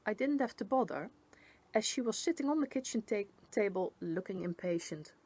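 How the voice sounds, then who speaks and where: A woman narrating a first-person storybook tale in an expressive read-aloud manner. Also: medium pitch, normal speed, slightly rough. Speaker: story reader.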